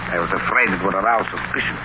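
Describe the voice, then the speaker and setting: An actor playing a menacing, self-assured villain professor who plots with hypnotic powers, in vintage radio drama style. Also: male voice, low-pitched, fast talker, lightly acted. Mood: coldly plotting. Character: scheming male villain professor, hypnotist plotting mass murder. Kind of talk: 1940s radio acting. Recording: muffled, noisy old radio recording.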